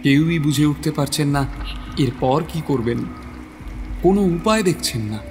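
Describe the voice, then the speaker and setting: Higher-pitched man narrating horror. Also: animated, unhurried. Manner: suspenseful, theatrical. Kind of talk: dramatic scripted horror story narration. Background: prominent dramatic music.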